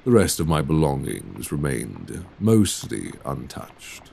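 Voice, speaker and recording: Very deep, slow man playing a gentleman vampire. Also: very animated, a little hiss or hum, full character voice. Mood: genteel, amused. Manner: formal, genteel period diction with endearments. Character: courteous Victorian gentleman vampire, secretive and restrained. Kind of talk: intimate ASMR audio roleplay, romantic drama. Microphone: close binaural microphone. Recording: binaural recording with clear background ambience.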